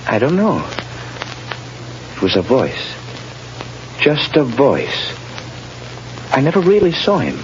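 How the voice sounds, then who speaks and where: Slow, swooping, dazed reprieved prisoner. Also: male voice, mid-range voice, huge pitch swings. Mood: dazed, awed. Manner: halting, awed delivery. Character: dazed male prisoner just reprieved from execution. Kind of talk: 1930s radio drama acting. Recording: dull, noisy vintage radio broadcast.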